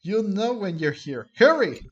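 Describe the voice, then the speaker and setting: A man doing casual gameplay commentary, thinking aloud in a halting way and sometimes reading game text. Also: high-pitched, big pitch swings, a bit bright, breathy edge, dull audio. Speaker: game streamer. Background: game music.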